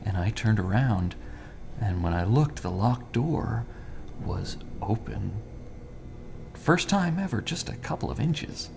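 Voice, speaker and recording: An actor playing a modest, entranced ordinary man in an audio drama, halting and trailing off in hushed wonder. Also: fairly low pitch, expressive, vocal fry, background noise, exaggerated voice. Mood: awed, hushed. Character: awestruck ordinary male tenant, modest, entranced. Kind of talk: scripted podcast drama acting.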